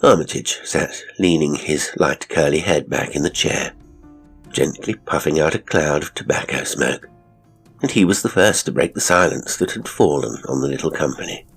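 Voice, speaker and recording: Audiobook narrator, man: deep raspy voice for period literary fiction, scripted storytelling delivery. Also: normal speed, faint music behind.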